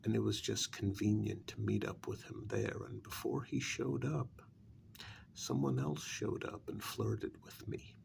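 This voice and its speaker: A man playing a brooding, bitter kidnapper in a soft-spoken ASMR role-play, gravelly and often slipping into a whisper, speaking deliberately with quiet menace. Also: lowish voice, a little hiss or hum, exaggerated voice. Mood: wry, reflective. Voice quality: gravelly, breathy, drifting into whisper. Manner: slow, deliberate, quietly menacing, with long pauses. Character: brooding, bitter male kidnapper confronting a captive demon.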